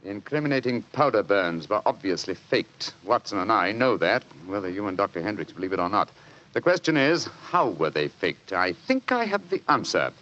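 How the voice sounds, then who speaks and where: Posh english detective, old radio drama, confident and analytical, very lively pitch, muffled sound. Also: male voice, moderate pace. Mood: triumphant. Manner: precise, assured. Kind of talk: 1940s radio mystery acting.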